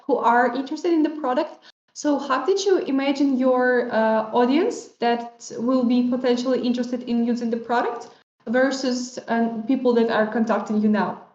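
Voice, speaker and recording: A woman hosting a casual interview over a video call, friendly and searching for words, with slightly non-native phrasing. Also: sits high. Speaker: interview host. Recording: echoey, muffled video-call audio.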